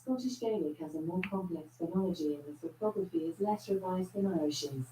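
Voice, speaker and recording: A woman's voice: on the low side, a little fast, dark tone, husky, voice pushed up, poor audio. A synthetic female voice assistant answering questions, heard through a speaker from across the room. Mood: informative.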